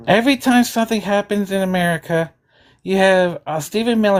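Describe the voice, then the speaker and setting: A woman arguing in an online voice chat, sarcastic and lecturing. She has an ordinary mid-range voice. At times she drags her words out slowly to talk down to her opponent. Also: medium pitch, darkish tone. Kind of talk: heated political argument.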